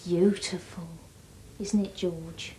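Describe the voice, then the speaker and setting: A woman's voice: fairly low pitch, steady tone, slow pace, noisy background. A woman playing a chatty, doubtful wife in an instructional film. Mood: curious. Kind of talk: scripted instructional film acting.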